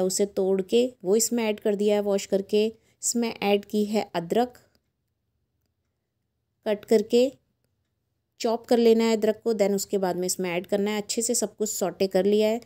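A woman's voice: mid-range voice, steady tone, a little slow, warm tone. A middle-aged woman with an Indian accent, hosting a cooking channel and chatting through a recipe in a voice-over. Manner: chatty, mixes English words into Hindi.

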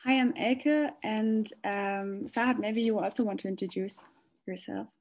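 A woman giving an informal webinar presentation over a video call, in a hesitant, halting way. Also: mid-range voice, a little mellow.

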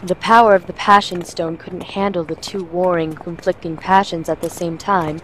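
Lowish voiced assassin lady woman acting, wry n matter of fact, audio drama, noisy background. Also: lightly acted. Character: composed, wry female assassin with magic.

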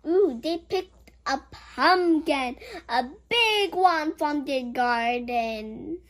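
Childlike storybook reader, very high voice, slow and halting, animated swoops, playful exclaiming. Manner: childlike, exclaiming with gasps and giggles. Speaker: children's show host. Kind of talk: picture-book read-aloud with playful commentary.

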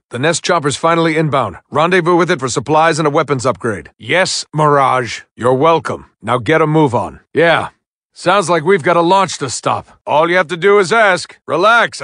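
An actor playing a wry military commander, giving orders with dry sarcasm in video game mission dialogue. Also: male voice, a little high, fairly bright tone, no echo. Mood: urgent. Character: wry male military commander guiding a soldier.

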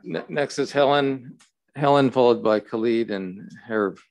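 A man's voice: medium pitch, unhurried, creaky, darkish tone, dry recording, rough recording. A man chairing a public meeting, making brief announcements of who speaks next.

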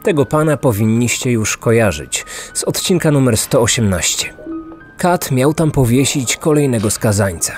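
A man's voice: mid-range voice, expressive, normal speed, darkish tone. Male true-crime narrator over faint music. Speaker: podcast host and narrator.

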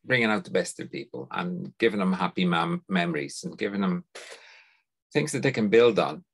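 A man's voice: low voice, animated, gravelly. A middle-aged man from the Netherlands with a Dutch accent, speaking thoughtfully as an interview guest over a video call from a home study. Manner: thoughtful, unhurried, repeats words as he starts sentences. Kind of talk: conversational interview. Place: home study lined with bookshelves.

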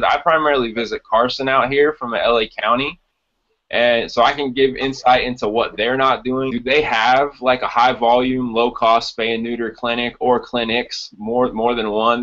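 A man's voice: mid-range voice, a bit bright. Man on a dull, lo-fi video call. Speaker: podcast co-host. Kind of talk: casual podcast discussion. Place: small room at home. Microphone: video call on computer.

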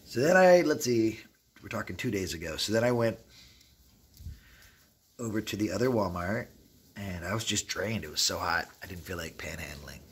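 A man in his thirties with a rough voice, telling rambling, streetwise stories casually to the camera and acting out bits of dialogue. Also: lowish voice, moderate pace, long pauses. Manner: rambling, streetwise, animated retelling with quoted dialogue. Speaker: travel vlogger. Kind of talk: casual storytelling vlog, talking to camera. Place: inside an open derelict wooden shack. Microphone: phone or camera at arm's length.

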